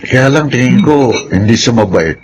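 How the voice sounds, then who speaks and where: A man's voice: medium pitch, animated, moderate pace, slight background noise, lightly acted, rough recording. A man acting the concerned, outspoken son of a household in a radio drama. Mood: disapproving.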